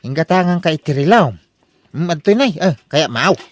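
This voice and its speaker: An actor playing an eager, scheming husband in an Ilocano comedy radio drama, with an exaggerated delivery. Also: male voice, fairly high pitch, expressive, normal speed, close to an everyday voice. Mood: coaxing.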